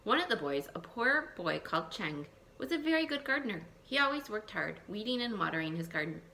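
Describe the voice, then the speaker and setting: A woman teacher reading a picture book aloud to young children, expressive, thinking aloud and putting questions to her listeners. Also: mid-range voice, brisk, gravelly, a little hiss or hum, rough recording. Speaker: elementary school teacher.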